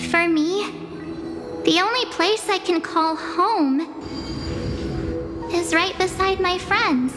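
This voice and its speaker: A woman's voice: very high-pitched, slow pace, long pauses. A plucky, loyal young girl. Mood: determined. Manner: bright, earnest, hesitant at times. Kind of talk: game cutscene dialogue. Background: music.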